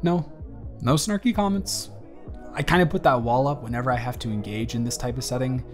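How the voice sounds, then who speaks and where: A man's voice: mid-range voice, brisk, faint music behind, lightly acted. A young man acting a wry, awkward but kind college student in an intimate, conversational roleplay audio, with a dry, teasing and hesitant delivery. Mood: candid, a bit guarded. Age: young adult.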